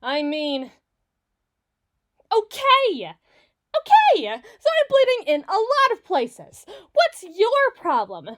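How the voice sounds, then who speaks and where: A woman's voice: very high-pitched, wildly swooping pitch, slow pace, a bit bright, exaggerated voice. A woman playing a foul-mouthed, stubborn villain in an audio roleplay, blustering and sarcastic with her partner. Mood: defiant, agitated. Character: brash, foul-mouthed, hot-tempered villain woman, gruffly soft on partner. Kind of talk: ASMR roleplay voice acting.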